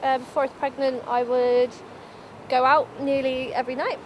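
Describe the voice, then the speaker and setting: A woman's voice: sits high, little pitch movement, normal speed, background noise. A 19-year-old woman giving a candid documentary interview, informal and a little hesitant, as if thinking aloud. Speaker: young mother being interviewed.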